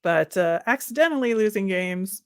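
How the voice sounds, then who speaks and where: A woman's voice: mid-range voice, animated, normal speed, dry recording, studio quality. A woman co-hosting a sports podcast, talking casually and conversationally, often hedging with little asides.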